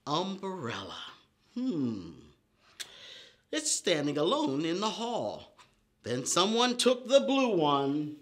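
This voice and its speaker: Slow, animated children's storytime man. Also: on the high side. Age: middle-aged. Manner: animated, exaggerated, simple repetitive phrasing for small children. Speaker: children's storytime host and teacher. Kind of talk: preschool lesson with chants and counting rhymes. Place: indoor storytime room with painted paper backdrop.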